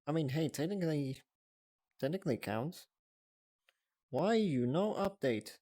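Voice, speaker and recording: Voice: mid-range voice, expressive, unhurried, warm tone, no echo, studio quality. A livestreamer chatting casually to viewers in a giggly way, often thinking aloud. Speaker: livestreamer hosting a reaction stream.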